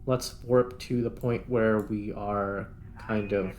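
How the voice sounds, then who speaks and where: A man's voice: lowish voice, steady tone, slow, a little mellow. A man hosting a gaming channel, giving casual gameplay commentary and thinking aloud as he plays. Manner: thinks aloud while playing. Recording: clear background noise.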